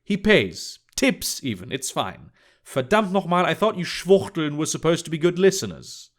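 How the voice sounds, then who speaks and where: Man voicing a brash, scornful woman, raised pitch. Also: big pitch swings, normal speed. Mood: irritated, dismissive. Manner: blunt, dismissive. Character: brash, irritated adult woman sex worker, blunt and scornful. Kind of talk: audiobook character dialogue.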